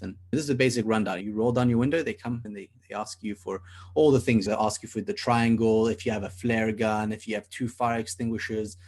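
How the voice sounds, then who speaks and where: Husky earnest young man, podcast co-host. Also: on the low side, a little mellow. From South Africa. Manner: earnest, rambling with restarts. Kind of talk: casual podcast discussion.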